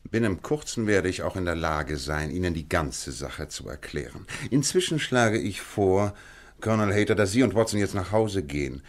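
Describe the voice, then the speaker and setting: A man acting in a German radio play as a shrewd detective, polite, measured and deliberate, with command under feigned frailty. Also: deep voice, animated.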